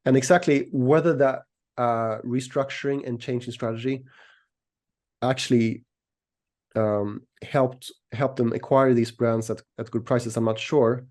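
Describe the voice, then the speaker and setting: A middle-aged man from Sweden speaks as an interview guest, explaining things conversationally. His voice is mellow and relaxed, sitting at a medium pitch. He picks his phrasing with care and often doubles back on a word. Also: measured pace. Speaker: interview guest, equities analyst and newsletter writer.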